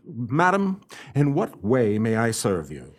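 Radio drama: courtly, self-satisfied male sleuth, played by an actor, formal and pompous, very animated pitch. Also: slow pace, breathy edge, exaggerated voice. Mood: polite, curious. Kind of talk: radio play acting with first-person narration.

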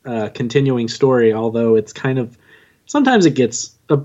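A man's voice: mid-range voice, lively intonation, moderate pace, warm tone. A man chatting casually as an interview guest, wry and self-deprecating, on a dull remote connection. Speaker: interview guest, fiction podcast writer.

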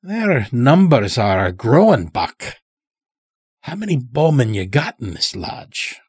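A male narrator plays a stout old farmer in a story, sly and easygoing. He speaks in a folksy drawl, slow and husky, stretching his words. The pitch swings widely and the sound is close and dry. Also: very animated, a little mellow, dry recording, full character voice. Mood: knowing, drawling.

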